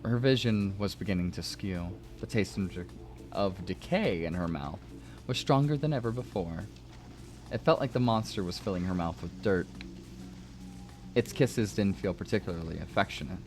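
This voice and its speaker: Male narrator, low voice, measured and expressive dramatic story reading, faint music underneath. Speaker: narrator and host of a story stream. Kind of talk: dramatic reading of prose fiction.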